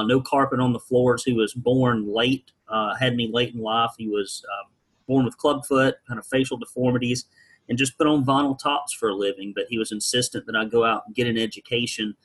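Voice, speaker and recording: A man's voice: mid-range voice, fairly even intonation, normal speed, a bit bright, slightly rough, poor audio. A man from rural Alabama, telling his story as an interview guest with wry, folksy, blunt humor. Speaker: guest, business founder.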